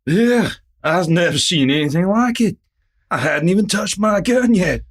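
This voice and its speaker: A man's voice: high-pitched, animated, normal speed. A man playing a frightened, nervous American ranch cowboy in a radio western. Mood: scared, awed. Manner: nervous stammer.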